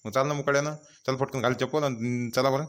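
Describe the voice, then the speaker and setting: A man's voice: medium pitch, fairly even intonation, fast, a bit bright, lightly acted. A man playing an impatient, grumbling village gambler in a comedy cartoon, with a colloquial, nagging Varhadi Marathi accent from Vidarbha. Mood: impatient, exasperated.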